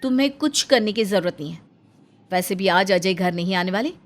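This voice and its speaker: A woman voicing an exasperated, scornful urban elder sister-in-law who scolds and bosses in an animated family story. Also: mid-range voice, fairly quick, close to an everyday voice. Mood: curt. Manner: scolding, bossy, emphatic.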